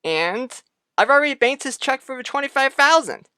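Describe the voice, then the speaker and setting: A woman's voice: high voice, expressive, moderate pace, bright, forceful tone, smooth, no echo, studio quality. A woman voicing an affable but defensive grown man with a folksy manner in a radio soap opera.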